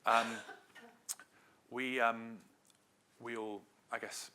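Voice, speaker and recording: A man's voice: medium pitch, little pitch movement, extremely slow, sharp tone, a little hiss or hum. A middle-aged man from Wales giving a conversational conference presentation as a marketing director, with loose phrasing and frequent hedges.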